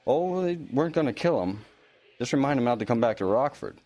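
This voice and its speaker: An actor playing a polite, easygoing small-town police officer in an audio drama, informal and a little awkward, in natural conversational dialogue. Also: male voice, mid-range voice, animated, fairly quick, a little mellow, natural voice. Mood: informative.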